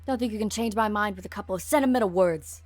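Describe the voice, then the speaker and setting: A woman's voice: medium pitch, fast, no echo, lightly acted. A woman voicing a hard-nosed police officer with a commanding, bitter edge. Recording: dry close mic, faint music.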